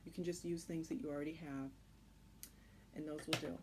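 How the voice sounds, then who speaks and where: A woman's voice: low voice, fairly even intonation, a little fast. A woman instructor casually explaining a children's craft step by step in an informal, reassuring way. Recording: clearly noisy home recording.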